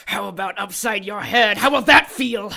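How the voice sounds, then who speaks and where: A woman's voice: mid-range voice, moderate pace, vocal fry, sharp tone. A woman playing a gloating, sadistic villain in an audio drama, taunting theatrically and savoring each word. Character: gloating sadistic male villain with magic staff.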